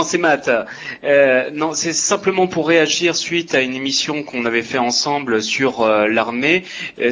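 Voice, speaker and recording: A man's voice: medium pitch, fairly bright tone, lo-fi sound. A man giving spontaneous spoken commentary as a guest on a talk show. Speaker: regular guest giving a reaction.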